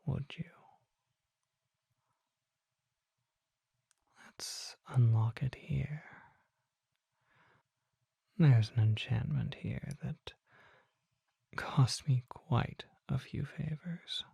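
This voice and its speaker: Breathy, whispering vampire merchant voiced by an ASMR performer. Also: medium pitch, mellow, dry recording, clean studio sound, full character voice. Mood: coy, inviting. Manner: measured, formal, coy rhetorical questions. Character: mysterious vampire apothecary merchant, suave, secretive, unhurried. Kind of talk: ASMR roleplay, soft whispering.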